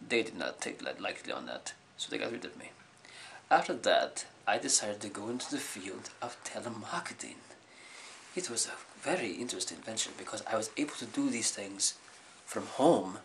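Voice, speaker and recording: A deadpan, quietly menacing oddball, played by a man in an improv comedy bit. His voice sits low but has a bright, forceful edge. He speaks in halting, stiffly phrased sentences. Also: vocal fry, slight background noise, full character voice.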